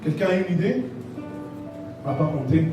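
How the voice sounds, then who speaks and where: Echoey, fairly high-voiced male pastor. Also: big pitch swings, moderate pace. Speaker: pastor, guest speaker. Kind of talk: informal talk to an audience. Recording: very echoey room, distant mic, music. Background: guitar music.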